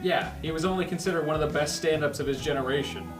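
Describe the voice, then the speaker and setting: A man's voice: fairly high pitch, fast, lightly acted. A man at a comedic table read playing a laid-back comedy actor with a sarcastic, teasing manner. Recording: echoey room, distant mic, music behind.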